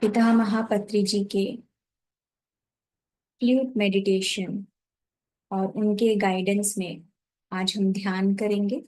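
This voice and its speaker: A woman leading a guided meditation, giving gentle instructions in short phrases with pauses. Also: mid-range voice, level tone, poor audio.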